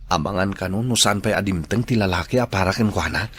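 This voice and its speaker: A man acting a shy, earnest young office worker in love with a coworker, soft-spoken, in a radio drama. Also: deep voice, fast, husky.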